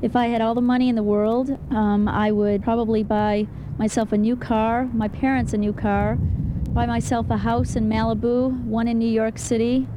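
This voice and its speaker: A woman who grew up in Iceland answers a street interview question, listing her wishes quickly. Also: a little high, little pitch movement, noisy background.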